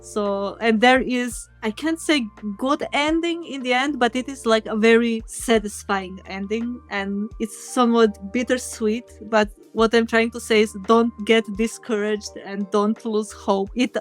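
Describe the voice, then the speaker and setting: Woman, slightly high voice, game developer guest, casual explanatory talk, self-rephrasing, faint music behind. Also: fairly even intonation, unhurried, hardly any pauses.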